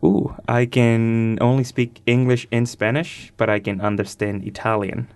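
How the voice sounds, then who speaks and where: A nineteen-year-old man from Chile giving casual, thoughtful interview answers as a radio guest, pausing hesitantly as he goes. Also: medium pitch, little pitch movement, darkish tone, clear, resonant tone.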